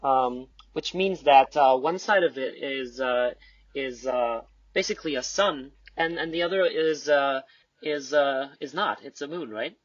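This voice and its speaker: A man chatting casually as a podcast guest, halting and repeating his words as he improvises. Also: medium pitch, dry recording. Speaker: podcast guest, audio play announcer. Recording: dull, muffled close recording.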